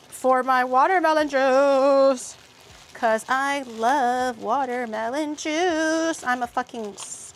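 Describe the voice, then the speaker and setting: Higher-voiced woman streamer cooking at home, blunt and sweary, mumbles mm while tasting. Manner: chatty, blunt and profane, with frequent tasting murmurs. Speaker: streamer and VTuber. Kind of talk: casual cooking livestream chat. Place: home kitchen.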